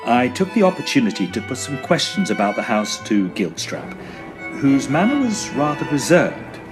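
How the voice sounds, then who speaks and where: A man's voice: medium pitch, very animated, normal speed, over music, lightly acted. A man playing a fussy, genial Edwardian estate agent in a tongue-in-cheek audio drama, speaking in wordy, formal, old-fashioned phrases. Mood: measured storytelling. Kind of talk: tongue-in-cheek period audio drama, first-person storytelling and dialogue.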